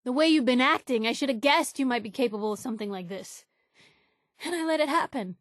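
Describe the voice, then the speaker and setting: An actress playing a blunt, caring young woman reporter in a video game, speaking in a casual, wry and emotionally expressive way. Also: on the high side, a little fast, no room sound, clean studio sound, natural voice. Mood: exasperated, hurt. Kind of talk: video game character dialogue.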